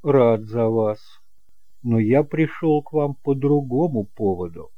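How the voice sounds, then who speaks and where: Мужчина играет строгого, официального господина, который говорит назидательно и с неодобрением, в аудиоспектакле. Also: male voice, mid-range voice, expressive, slow, no echo, narrow, tinny audio. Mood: stern, reproving. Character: stern, moralizing gentleman, pressing and disapproving. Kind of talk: audiobook drama acting.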